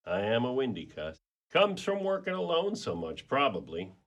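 A man acts out a brawny pet detective for a radio play, with a lively voice that rises and dips a lot. He is folksy and windy, rambling along in an easygoing way. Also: medium pitch, moderate pace, exaggerated voice. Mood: easygoing, chatty. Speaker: actor in a community radio play.

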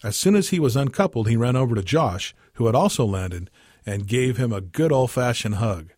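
A man with a husky voice reading a scripted short story as narrator. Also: medium pitch, lively intonation, moderate pace, darkish tone, no echo, studio quality. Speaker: story reader.

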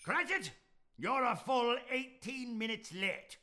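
A giddy reformed old miser, Scrooge. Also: male voice, animated, slow, sharp tone, exaggerated voice. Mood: teasing. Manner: exuberant, chuckling, sweeping pitch. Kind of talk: stage radio play acting.

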